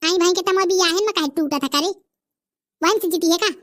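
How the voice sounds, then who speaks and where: High chatty village gossip in a cartoon, bundeli accent, teasing, a woman doing the voice. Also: fairly quick, a bit bright. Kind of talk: rustic village comedy cartoon dialogue.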